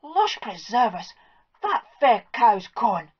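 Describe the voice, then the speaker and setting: A woman voicing a fussy, excitable old farm wife in a Scots accent, shrill and flustered as she scolds. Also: takes it slowly, slightly rough, exaggerated voice. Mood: aghast. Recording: dull lo-fi recording.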